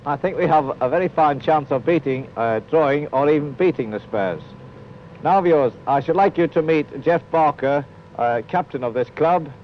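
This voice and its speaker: A man's voice: on the high side, moderate pace. A British football trainer, a man, speaks stiffly to camera as if rehearsed. The recording is dull 1950s film sound with faint noise.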